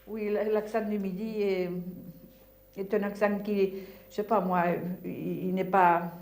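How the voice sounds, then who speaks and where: A woman's voice: mid-range voice, steady tone, unhurried, darkish tone. A woman with a southern French accent, hesitantly searching for words in an interview. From southern France. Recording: echoey room.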